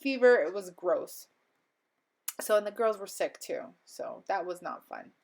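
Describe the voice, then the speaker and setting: A woman's voice: medium pitch, animated, unhurried, darkish tone. A young woman recovering from a cold, narrating a crafting voiceover at home in a rambling, chatty way with lots of ums and little laughs. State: slightly stuffy, recovering from illness. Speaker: crafting vlogger. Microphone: voiceover mic.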